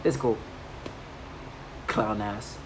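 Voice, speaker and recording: A woman's voice: very deep voice, fairly even intonation, very slow. A woman in her thirties in a rambling personal monologue, agitated and repetitive, with many restarts. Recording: noisy home recording.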